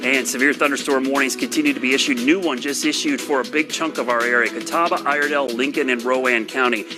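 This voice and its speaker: A man's voice: mid-range voice, fairly even intonation, fast. A man forecasting severe weather live on TV, urgent and direct with viewers. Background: music.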